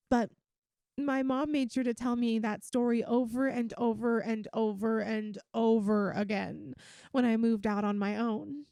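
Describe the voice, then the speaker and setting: A woman's voice: fairly high pitch, creaky, mellow, dry recording, studio quality. A woman voicing a playful, affectionate young lamia best friend in an intimate, chatty ASMR roleplay. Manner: teasing, chatty, giggly. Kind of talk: ASMR roleplay, one-sided intimate conversation.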